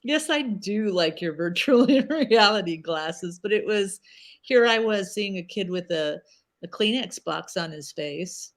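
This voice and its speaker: A woman's voice: mid-range voice, measured pace. A woman in her fifties telling stories in a casual livestream chat, laughing often. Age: 50s. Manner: animated storyteller, laughs often.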